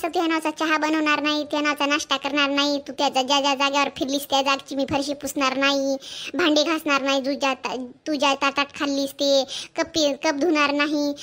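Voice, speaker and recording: A woman voicing a nagging rural mother who scolds her grown daughter with rapid, sarcastic talk. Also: high-pitched, bright, forceful tone.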